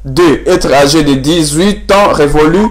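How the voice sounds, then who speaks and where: A man with a Congolese French accent hosting a formal interview as a journalist, speaking haltingly and repeating words as he frames his questions. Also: a little high, a crowd in the background.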